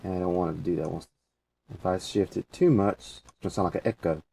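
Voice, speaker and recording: A man presenting a casual software tutorial, talking through each step as he goes in informal, folksy phrasing. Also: sits low, quick pace, creaky, slightly rough. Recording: distant mic with faint background noise.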